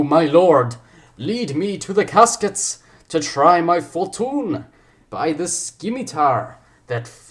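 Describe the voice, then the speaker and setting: A man playing a proud foreign prince wooing a lady, declaiming Shakespeare grandly in an amateur stage reading. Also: medium pitch.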